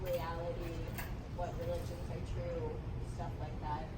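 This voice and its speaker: A woman's voice: lowish voice, level tone, unhurried. A woman speaking frankly and personally in a casual group discussion. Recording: echoey, distant mic, loud background noise.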